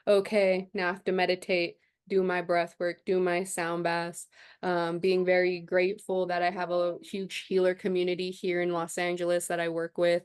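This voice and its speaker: Youngish woman guest chatting about her life, kinda low voice, thoughtful, lots of "also too". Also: monotone, moderate pace, clear, resonant tone. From United States, raised partly in Guatemala. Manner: reflective, many fillers like "also too". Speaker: chiropractor guest. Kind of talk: casual interview conversation.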